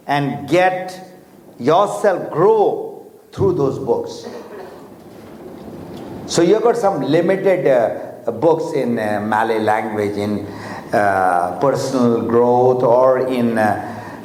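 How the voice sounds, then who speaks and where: A man's voice: a little high, a little mellow. Guy, urdu or hindi first language, motivational seminar talk, lots of uhs, echoey big hall w faint room noise. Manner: slow, deliberate, with frequent fillers and restarts; asks the audience questions directly. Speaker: motivational speaker. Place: large hotel seminar hall.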